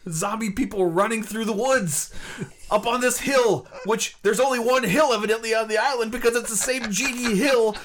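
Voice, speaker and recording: A man's voice: very high-pitched, normal speed. A man bantering casually as a podcast panelist.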